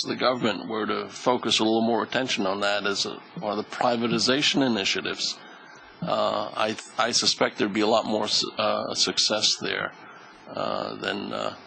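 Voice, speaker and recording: Man, gravelly voice, panel Q&A, halting with fillers, faint background hiss. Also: on the low side, pushed and bright, poor audio. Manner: hesitant, many fillers and restarts. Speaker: charity board member answering questions. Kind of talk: panel Q&A answer.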